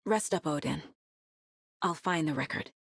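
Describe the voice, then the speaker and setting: An actress playing a determined, sharp and guarded detective and mother in a video game, with naturalistic delivery. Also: deep voice, measured pace, vocal fry, slightly rough, no room sound, clean studio sound, heavily acted. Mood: focused.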